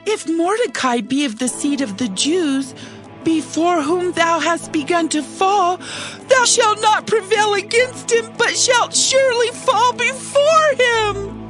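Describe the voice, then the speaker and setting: An actress playing a minister's wife who delivers a slow, ominous warning in a dramatized scripture reading. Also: female voice, very high voice, a bit bright, soft background music, heavily acted. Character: foreboding wife of a minister, female adult.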